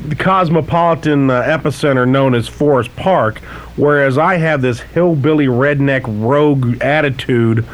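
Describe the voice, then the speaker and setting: A man's voice: medium pitch, moderate pace, low-quality recording. A man co-hosting a radio show, bantering and teasing callers with dry wisecracks. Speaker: radio co-host.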